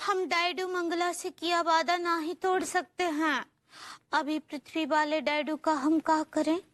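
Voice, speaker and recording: A timid young wife. She speaks very high and slowly, catching on syllables as if close to tears. The sound is dry and close. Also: level tone, a bit bright, dry recording. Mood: sweet. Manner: halting, stuck on syllables, tearful. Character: shy, weepy young village wife.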